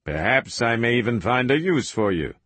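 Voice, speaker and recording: A man's voice: mid-range voice, very animated, moderate pace, fairly bright tone, dry recording. A man voicing a cold, sadistic interrogator in an audiobook, smugly patient, taunting and commanding. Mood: pressing, commanding. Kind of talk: audiobook character voice.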